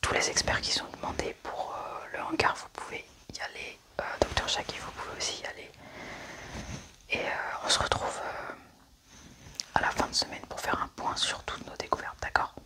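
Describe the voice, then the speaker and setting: This is an ASMR roleplay performer speaking entirely in whispers. The delivery is soft and unhurried, acting out a scripted-feeling scene with a hushed, breath-only voice.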